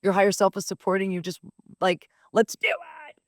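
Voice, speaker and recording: A woman's voice: mid-range voice, moderate pace, no echo, clean studio sound. A woman, hosting a podcast and telling a personal story in a blunt, emphatic, swearing-laced casual style. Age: 50s. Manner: blunt, profane, animated and emphatic. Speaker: podcast host.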